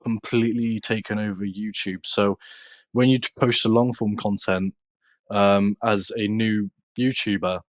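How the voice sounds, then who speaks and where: A man from the UK, a content creator chatting casually as a podcast guest in a measured, hesitant way. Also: fairly low pitch, steady tone, no echo. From United Kingdom. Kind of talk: casual interview chat.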